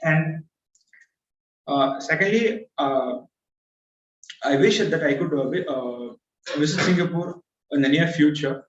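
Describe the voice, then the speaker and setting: A man's voice: a little high, slow pace, pauses often. A young man whose first language is Tamil, giving a prepared speech hesitantly, with many filler sounds and restarts. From India. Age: young adult. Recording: far-off echoey dull video call audio.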